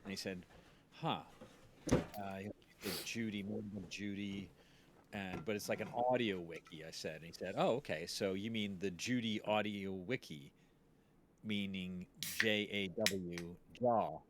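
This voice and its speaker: Rambling male host, lowish voice. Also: measured pace, fairly bright tone, slight background noise. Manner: rambling, jokey. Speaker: stream host. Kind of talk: casual chat.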